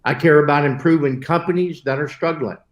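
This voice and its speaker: A man's voice: on the high side, fairly even intonation, fairly quick. A man, giving an earnest prepared webinar talk as host and now and then correcting himself. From southern United States. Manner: earnest, with occasional self-corrections. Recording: roomy echo.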